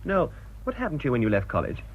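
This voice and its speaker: An actor in a radio drama playing a courteous, well-informed man with a measured, precise manner. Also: mid-range voice, huge pitch swings, slight background noise. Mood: friendly, informative. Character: courteous, friendly, well-informed man, old college friend. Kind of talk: British radio drama acting. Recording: dull radio recording with noticeable ambience.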